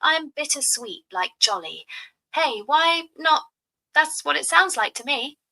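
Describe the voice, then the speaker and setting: A woman's voice: high voice, expressive, a little slow, vocal fry, pushed and bright, rough recording. A synthetic woman's voice with a put-on Cockney accent, breezily explaining things to a user. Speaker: voice assistant. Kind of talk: chatty explaining.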